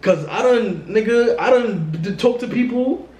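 A man in his twenties with a New York accent, hosting a casual chat in a relaxed, slangy way full of fillers. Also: high-pitched, unhurried, roomy sound, poor audio. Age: 20s. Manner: laid-back slangy talk with many fillers. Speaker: host. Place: small room against a plain wall.

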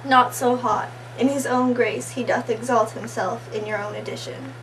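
A woman playing a scornful, bitter noblewoman in amateur Shakespeare, slow and disdainful, recorded far from the mic with noise. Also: a little high, steady tone, fairly bright tone, natural voice. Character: haughty, scornful royal noblewoman, jealous and bitter. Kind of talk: amateur Shakespearean stage acting.

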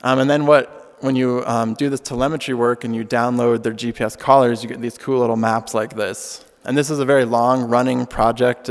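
Young man speaking in a reverberant hall. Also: medium pitch. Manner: frequent ums, relaxed and earnest. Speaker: keynote speaker. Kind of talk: conference talk with slides. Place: large dark auditorium stage at a podium. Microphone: podium microphone.

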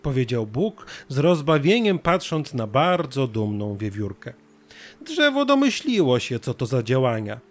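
Lively male storybook narrator. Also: mid-range voice, a little slow. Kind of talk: scripted children's story narration.

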